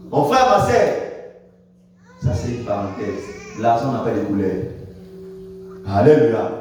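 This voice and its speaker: A male pastor preaching a Sunday sermon in French, with dramatic swings in pitch and loudness and frequent calls of hallelujah. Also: big pitch swings, measured pace. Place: church. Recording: echoey church hall, distant mic, faint noise.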